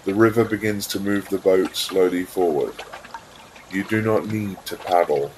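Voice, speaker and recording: A man narrating a scripted guided visualisation in a calm, soothing, unhurried way, leaving pauses between phrases. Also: deep voice, a little hiss or hum, poor audio.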